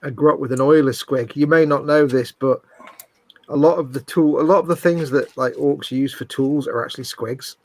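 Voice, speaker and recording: A man's voice: mid-range voice, fairly even intonation, normal speed. A man with a sniffly, stuffed-up nose, co-hosting a live hobby stream and eagerly explaining things. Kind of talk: casual chat.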